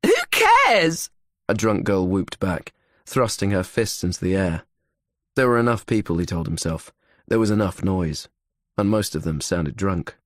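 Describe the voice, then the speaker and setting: Male audiobook narrator for suspense fiction, very low register, close dry sound. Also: moderate pace, darkish tone, dry recording. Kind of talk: scripted thriller narration.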